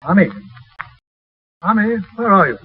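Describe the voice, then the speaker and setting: An actor playing a devoted, urbane, gently forbearing fiancé in a 1930s radio drama. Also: male voice, sits high, animated, measured pace. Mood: attentive, urbane. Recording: noisy, narrow, distant 1930s radio recording.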